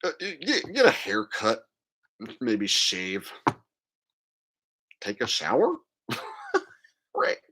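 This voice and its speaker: Man with animated, wide-swinging pitch, ranting casually. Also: extremely slow, long pauses, slightly rough. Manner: mocking, crude, acting out mimicry. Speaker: livestream host. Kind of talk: ranting, casual livestream monologue.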